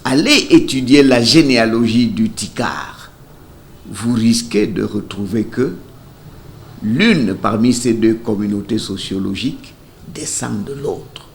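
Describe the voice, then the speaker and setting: A man's voice: medium pitch, fairly bright tone. A man explains as an interviewed expert, in an emphatic, professorial manner. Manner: deliberate, emphatic, professorial phrasing. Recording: faint ambient background noise.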